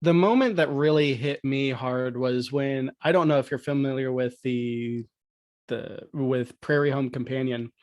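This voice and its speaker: A man's voice: medium pitch, steady tone, measured pace, a little mellow, dry recording. Man chatting casually as a podcast guest, dry sound. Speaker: podcast guest, audio drama producer. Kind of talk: casual conversation about old-time radio.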